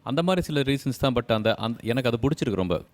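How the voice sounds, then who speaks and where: A mid-pitched man chats as a talent show judge. He repeats himself for emphasis and slips English into his sentences. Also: animated, quick pace. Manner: repeats phrases, mixes in English. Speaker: singing contest judge. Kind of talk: unscripted judging and panel chat.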